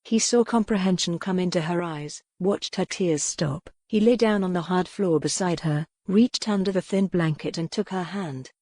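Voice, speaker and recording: A woman's voice: lowish voice, moderate pace, few pauses, a little mellow, no echo. A woman reading scripted narration as the narrator of a romance audiobook.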